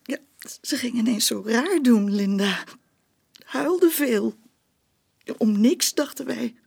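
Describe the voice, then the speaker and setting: A woman playing a guarded, uneasy mother in a radio drama, slightly husky and hesitant. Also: a little high, animated, slow pace, a little mellow, full character voice. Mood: distressed. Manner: hesitant, defensive. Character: guarded, uneasy mother, a former sex worker.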